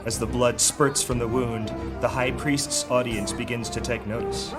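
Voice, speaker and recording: A man narrating a high fantasy audio drama with dramatic storytelling delivery. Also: fairly even intonation, normal speed, music in the background, lightly acted. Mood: dramatic, grave. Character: male narrator of dark high fantasy adventure.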